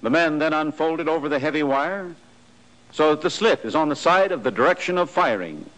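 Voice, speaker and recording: An American man with a fairly high-pitched voice reads scripted instructional narration in the manner of a 1940s training film. His delivery is formal and precise, setting out each point matter-of-factly. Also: animated, fairly quick, a bit bright, rough recording.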